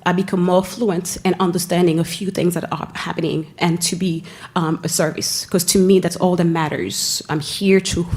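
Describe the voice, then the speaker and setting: A woman from Haiti with a Haitian accent, giving an earnest presentation at a school board meeting, often pausing and restarting her phrases. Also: on the low side, a little mellow. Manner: earnest, with frequent pauses and restarts. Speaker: school family engagement staff member.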